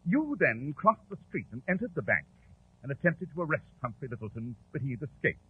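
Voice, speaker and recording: A man's voice: mid-range voice, very animated, a little fast, a little hiss or hum, lightly acted. An actor playing a brilliant, pompous male detective in a 1940s radio drama. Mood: superior, incisive. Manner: crisp, pompous, dryly sardonic. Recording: tinny, muffled old 1940s radio recording.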